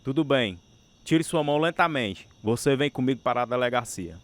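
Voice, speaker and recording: A man's voice: medium pitch, lively intonation, brisk, natural voice. A man acting as a stern, threatening policeman making an arrest in a radio drama. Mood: stern, commanding.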